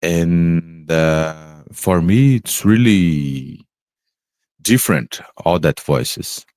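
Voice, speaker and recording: A man, an English speaker, talking slowly and haltingly about spiritual experiences on a podcast, pausing often to search for words. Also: sits low, a little mellow, dry recording, studio quality. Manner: halting, searching for words, many pauses and fillers. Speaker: podcast co-host. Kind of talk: slow reflective spiritual chat.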